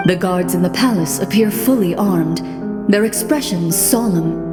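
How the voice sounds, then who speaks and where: A woman's voice: fairly low pitch, unhurried, lightly acted. A woman performing scripted fantasy narration as a game master setting a scene. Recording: echoey long reverb, music behind. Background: music.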